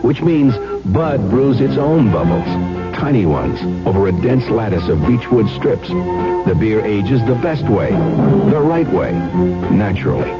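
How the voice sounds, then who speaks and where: A man reads a seventies radio ad, low and wry, with lively swings in his voice. Loud music plays behind him on a dull broadcast recording. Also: a little slow. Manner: wry, conversational. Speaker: commercial announcer. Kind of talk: 1970s radio beer ad.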